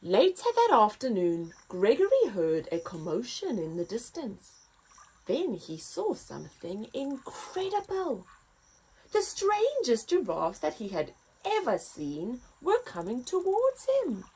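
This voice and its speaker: A woman reading a children's story aloud as a story time host, with expressive, dramatic emphasis. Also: fairly high pitch, slow pace, breathy edge, low-quality recording.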